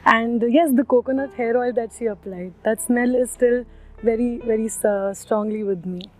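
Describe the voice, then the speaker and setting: A woman's voice: on the high side, moderate pace, darkish tone, faint music behind, rough recording. A woman with an Indian accent sharing a personal recollection.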